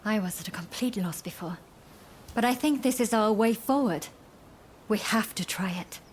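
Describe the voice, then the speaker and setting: A woman's voice: mid-range voice, normal speed, a little hiss or hum, close to an everyday voice. A woman voicing a compassionate, resolute doctor in a video game, earnest and polite.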